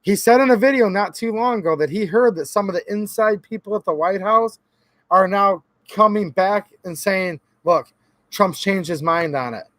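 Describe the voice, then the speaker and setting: A hoarse man hosting his own gun channel, talking earnestly and casually straight to his viewers, often calling them guys. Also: high-pitched, normal speed, a bit bright, no room sound. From Michigan. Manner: earnest, talks directly to viewers as guys, repeats himself. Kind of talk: casual talk to camera.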